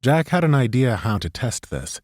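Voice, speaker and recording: A man narrating a fantasy audiobook in a measured, even way. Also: medium pitch, dry recording, clean studio sound. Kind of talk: scripted narration.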